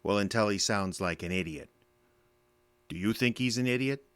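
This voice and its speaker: An actor with an American accent playing a bitter, defensive middle-aged army veteran in an audio drama, gruff, sardonic and curt. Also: male voice, lowish voice, moderate pace, a bit bright, no echo, close to an everyday voice. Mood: bitter, argumentative.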